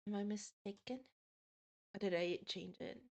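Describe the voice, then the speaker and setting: A woman's voice: mid-range voice, flat delivery, a little slow. A woman in her twenties chatting casually with her stream viewers, laughing often and reading out their messages. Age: 20s. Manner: chatty, giggly, reads chat aloud.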